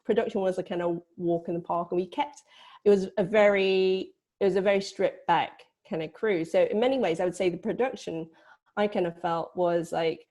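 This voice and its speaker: A woman guest on a panel chats informally, loosely phrasing her answers as a producer. Her voice is on the low side and distinctly mellow and soft-edged. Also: fairly even intonation, a little fast. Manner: informal, hedging with frequent "like". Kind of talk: casual panel interview.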